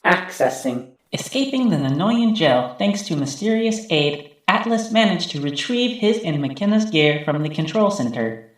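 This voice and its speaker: Man as a sci-fi memory computer, heavy echo, high male pitch, formal dramatic recap narration. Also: sing-song, moderate pace. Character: computerized memory archive voice recapping past events. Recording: heavily echoing processed voice.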